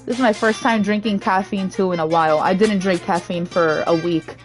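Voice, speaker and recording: A young woman streamer with a naturally low, slightly hoarse voice, chatting casually and rambling between topics. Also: steady tone, brisk, faint music behind. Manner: rambling, chatty, easily sidetracked. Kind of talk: casual gaming stream chat.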